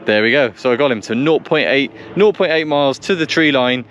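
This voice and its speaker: A man talks in a casual, practical way, somewhere outdoors with noise behind him. His voice sits at a medium pitch. He thinks aloud, repeats phrases and breaks off to make short radio calls. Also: brisk, lo-fi sound. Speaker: vlogger testing two-way radios. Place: outdoors on a country lane. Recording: noisy outdoor recording with vehicle sounds.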